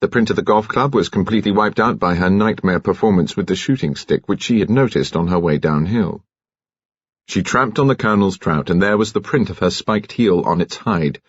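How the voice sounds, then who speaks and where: A man's voice: sits low, a little fast, slightly rough, no room sound, lo-fi sound. A British man reading a detective novel aloud as scripted, dramatic audiobook narration.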